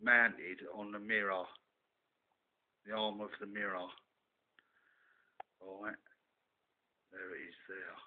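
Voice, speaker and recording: Slow, low-voiced man on muffled audio. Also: level tone. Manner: halting, piecemeal explaining with frequent "right" tags. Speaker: hobbyist presenter. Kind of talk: informal show-and-tell demonstration. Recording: muffled, phone-like narrow-band camera audio.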